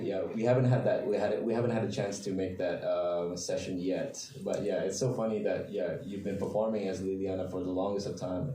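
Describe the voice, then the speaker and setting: A man, a voice coach, speaking on a convention panel in an animated way, often restarting sentences. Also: low voice. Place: large convention hall. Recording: echoey hall, distant mic, faint crowd noise.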